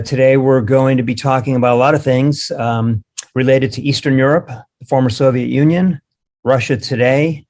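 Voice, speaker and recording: A man hosting an interview in a relaxed, hesitant way, with wry jokes. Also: medium pitch. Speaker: host.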